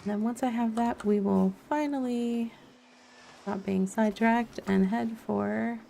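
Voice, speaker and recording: A woman's voice: a little high, fairly even intonation, a little slow, vocal fry, mellow, faint music behind. A woman streamer giving casual live gameplay commentary, chatty and thinking aloud as she goes. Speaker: game streamer.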